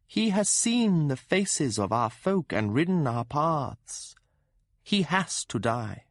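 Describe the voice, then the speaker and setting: A man doing a high, cold-hearted ghost woman. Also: sing-song, no echo, studio quality. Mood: cold insistent. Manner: soothing yet ruthless, slow and deliberate. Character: possessive, coldly loving dead woman spirit.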